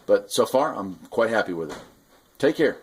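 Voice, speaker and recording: Low-pitched man casually demonstrating gear. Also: sing-song, normal speed, slightly rough. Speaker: radio hobbyist host reviewing gear.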